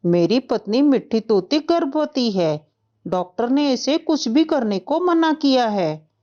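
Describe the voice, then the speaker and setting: A woman's voice: on the high side, lively intonation, unhurried, dark tone, lo-fi sound. A woman voicing an authoritative, self-important male parrot headman in a cartoon. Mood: businesslike.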